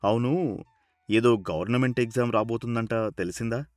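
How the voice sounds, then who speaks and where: A man voicing a kindly, encouraging boss with a measured, approving manner in a story. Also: mid-range voice, dry recording, faint music behind, close to an everyday voice.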